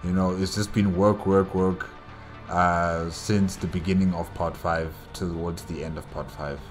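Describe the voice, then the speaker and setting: A man with a very deep voice hosts a reaction video. He talks casually and rambles through a recap, circling back over his phrases. Faint music plays behind him. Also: fairly even intonation, unhurried. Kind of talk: casual solo recap commentary.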